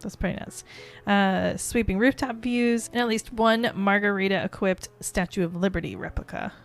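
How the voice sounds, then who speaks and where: A woman's voice: mid-range voice, moderate pace, vocal fry, soft background music. A woman co-hosting a podcast in a casual, chatty style. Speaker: podcast co-host. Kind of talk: casual chat.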